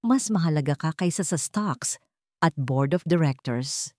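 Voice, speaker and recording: A woman's voice: low voice, lively intonation, unhurried, mellow, no room sound, clean studio sound. A woman voicing a wealthy businesswoman who speaks heartfelt and firm words in a storybook romance. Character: billionaire businesswoman, proud but tender in love.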